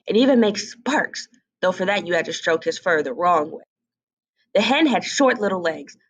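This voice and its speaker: A woman reading a fairy tale aloud as an expressive storybook narrator. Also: medium pitch.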